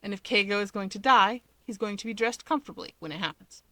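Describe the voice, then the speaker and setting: Bright-voiced woman reading a story. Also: medium pitch, fast, no room sound. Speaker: fan fiction narrator. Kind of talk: scripted story narration.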